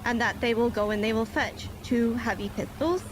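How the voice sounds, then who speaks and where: A woman plays a police officer giving testimony in a roleplay courtroom, using stiff, formal police-report wording. Her voice sits fairly high. Clear noise runs under a game voice-chat recording. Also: moderate pace. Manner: formal police-report phrasing, leans on "basically" and "myself".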